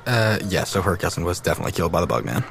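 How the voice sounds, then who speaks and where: A man as a low-voiced, sarcastic teenage podcaster. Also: sing-song, racing, fairly bright tone, full character voice. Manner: sarcastic, blunt, casual. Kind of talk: naturalistic audio drama acting. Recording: clear background ambience noise.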